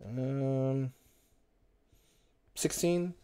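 A man in his thirties at home, casually reading out card names as he opens packs, with sudden bursts of excitement. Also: mid-range voice, drawn-out words, clear, resonant tone. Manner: lists card names in a running murmur, brief excited outbursts. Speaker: card-opening video host. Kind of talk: casual unboxing commentary. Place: home room with a desk. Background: crinkling card wrappers.

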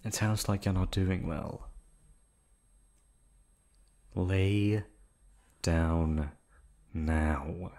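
A man's voice: low-pitched, a little mellow, full character voice. A man voicing an obsessive male yandere hotel receptionist in an ASMR drama, silky and polite, sliding into menace and close whispers. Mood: sinister. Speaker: voice actor. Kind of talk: ASMR roleplay audio drama.

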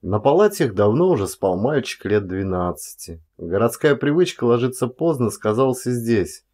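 A man's voice: medium pitch, sing-song, moderate pace, mellow. A man reading literary prose as an actor narrating an audiobook, expressively.

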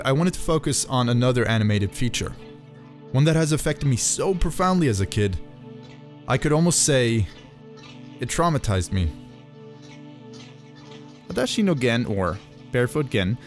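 A man hosts a scripted video essay in a conversational, reflective voice. His pitch sits in the middle of the male range. Music plays clearly underneath his narration. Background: background music.

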